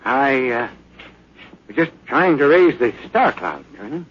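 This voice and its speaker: A man playing an anxious, excitable military radio sergeant in a 1950s radio drama. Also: medium pitch, unhurried. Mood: subdued. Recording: muffled, noisy old radio recording.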